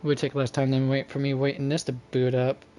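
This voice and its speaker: A man's voice: mid-range voice, completely flat delivery, fairly quick, mellow, soft background music. A man doing casual livestream game commentary, thinking aloud and muttering to himself in a folksy, slangy way. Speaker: game streamer.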